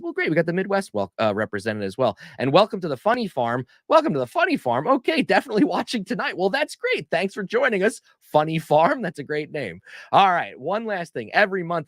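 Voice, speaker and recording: A man's voice: fairly high pitch, a bit bright, no room sound, clean studio sound. A man hosting a live online show, chatting casually and reading viewer comments aloud with an upbeat manner. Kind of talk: casual livestream chat.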